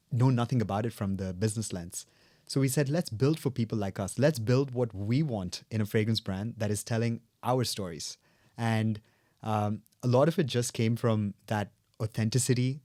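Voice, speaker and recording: A man's voice: on the low side, a little mellow, no room sound, clean studio sound. A young man from South India, a native Telugu speaker, telling his story earnestly and reflectively as a guest in a podcast interview. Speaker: guest, fragrance brand co-founder.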